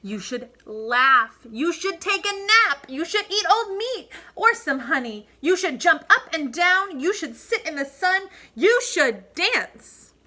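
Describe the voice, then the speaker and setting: Woman playing eager, high, bright jungle animals. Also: very animated, slow pace, hardly any pauses, heavily acted. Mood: eager urging. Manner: eager, insistent urging. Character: chorus of cheerful, pushy jungle animals.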